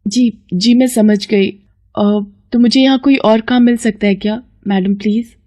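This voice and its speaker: A woman voicing an earnest young woman in an animated moral story, timid and eager, sometimes stumbling over words. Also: a little high, unhurried. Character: earnest, pleading young woman dreaming of modelling.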